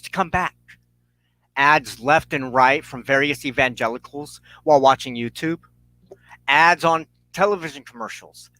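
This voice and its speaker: A man hosting as a minister, delivering an impassioned scripted monologue in an emphatic, oratorical way with deliberate dramatic pauses. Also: on the high side, sharp tone, no echo, low-quality recording.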